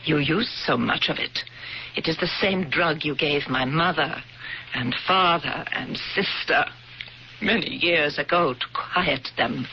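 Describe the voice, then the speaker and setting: A grim, determined woman out for vengeance, speaking with deliberate, bitter sarcasm and formal words. It is 1970s radio drama, dull in tone with faint hiss behind it. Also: sits low. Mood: cold, mocking. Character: grim, determined woman avenging her murdered family. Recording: dull, noisy old radio broadcast.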